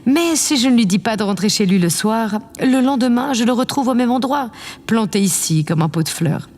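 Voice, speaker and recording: A woman's voice: a little high, expressive, normal speed, darkish tone. A woman playing a vain, imperious yet affectionate president of a space colony in an audio drama, with a pompous, condescending manner. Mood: offhand, imperious. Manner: pompous, condescending, theatrically self-satisfied. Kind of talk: scripted audio drama acting. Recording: long reverb, clear background noise.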